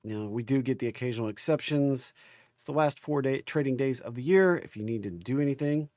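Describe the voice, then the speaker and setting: Male livestream market host, gravelly and under the weather, informal folksy delivery, dry recording. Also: mid-range voice, brisk, warm tone, dry recording. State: recovering from an illness. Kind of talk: casual livestream chat and market commentary.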